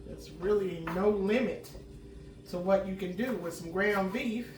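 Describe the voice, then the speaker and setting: A woman, slightly hoarse from a cold, chatting homily as she cooks and clearing her throat now and then. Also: medium pitch, measured pace, noisy background. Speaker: home cooking channel host. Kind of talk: casual cooking chat. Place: home kitchen. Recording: roomy kitchen sound from a distant mic.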